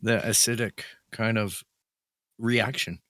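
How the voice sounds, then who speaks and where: Male co-host, slightly rough voice, rambling and easygoing, dry sound. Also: fairly low pitch, fairly even intonation, slow, pushed and bright, no echo. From Canada. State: voice a little rough. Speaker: podcast co-host. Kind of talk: casual podcast chat.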